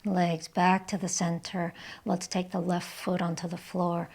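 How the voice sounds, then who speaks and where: A woman leading a guided yoga and relaxation session as the instructor, speaking in a gentle, calm, soothing way with breathy cues. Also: on the low side, a little slow. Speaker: yoga instructor and channel host.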